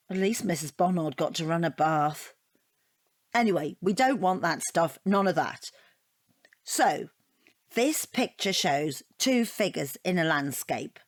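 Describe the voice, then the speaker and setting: A woman's voice: fairly low pitch, no echo, studio quality. An actress playing a rambling, earnest, self-deprecating divorced woman in a naturalistic audio drama. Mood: chatty, defensive. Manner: rambling, earnest, easily flustered. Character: chatty, self-deprecating divorced woman taking an art appreciation class.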